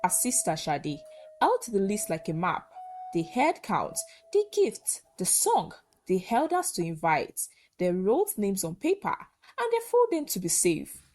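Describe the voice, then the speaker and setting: A woman narrating a scripted folktale in short, measured, dramatic phrases. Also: mid-range voice, huge pitch swings, a little mellow, slight background noise. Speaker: storyteller, narrator.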